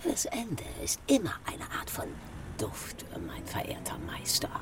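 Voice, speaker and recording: An actress playing a brash, mocking, capricious 1920s Parisian courtesan in a radio play, theatrical and drawling. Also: female voice, low-pitched, huge pitch swings, measured pace, in a whisper, recorded from a distance, full character voice. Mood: excited, teasing. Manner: theatrical, drawling, swinging from murmurs to shrieks.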